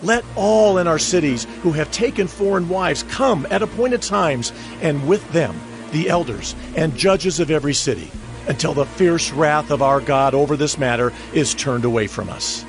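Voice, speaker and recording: A man's voice: on the high side, animated, moderate pace, music in the background. An actor playing a contrite Israelite spokesman in a dramatized audio Bible, earnest and declamatory. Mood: contrite, pleading. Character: contrite Israelite spokesman pleading for his assembly.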